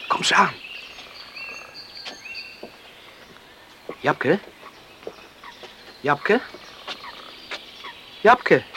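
An actor playing an eager, jealous, lovestruck country lad in a Dutch radio drama, pleading and insistent in a boyish way. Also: male voice, sits high, vocal fry, background noise, full character voice. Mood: coaxing, waiting.

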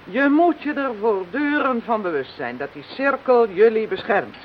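A woman plays a practical witch in a radio play, sure of herself and used to instructing others. She speaks with calm authority. The recording is dull, with light hiss underneath. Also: a little high, normal speed, lightly acted. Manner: assured, instructive. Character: practical, self-assured female witch. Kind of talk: radio drama acting.

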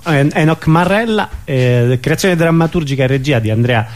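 A man's voice: fairly high pitch, animated, moderate pace. A man hosting a radio programme, presenting and interviewing guests. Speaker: radio host.

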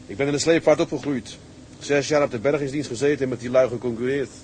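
A man plays a plain-spoken young ship's mate in a drama. He talks in a middle-pitched voice with a dry, understated, colloquial manner. Faint ambient noise sits behind him. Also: fast, darkish tone, close to an everyday voice. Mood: dry, friendly. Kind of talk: naturalistic drama dialogue.

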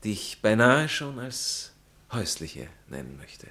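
An actor playing an urbane, ironic aristocratic husband with a Viennese accent and a dry, icily polite manner in a 1960s German radio play. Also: male voice, on the low side, sing-song, measured pace, sharp tone, full character voice.

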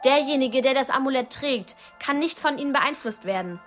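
An actress playing a chatty, excitable young girl in a radio play. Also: sits high, normal speed, soft background music. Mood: astonished.

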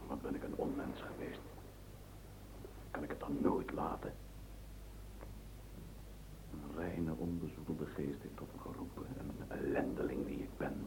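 A man's voice: extremely low pitch, steady tone, a little slow, pauses often. An actor playing a self-reproaching middle-aged man muttering his private thoughts half-whispered in a radio play. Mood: remorseful. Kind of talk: radio play interior monologue. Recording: noisy, hissy old radio play tape.

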